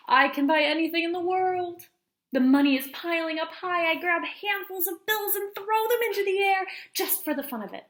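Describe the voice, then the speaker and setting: A woman's voice: fairly high pitch, natural voice. A woman voicing an eager, money-loving eleven-year-old girl who narrates a storybook earnestly and with dramatic emphasis. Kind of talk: storybook first-person narration.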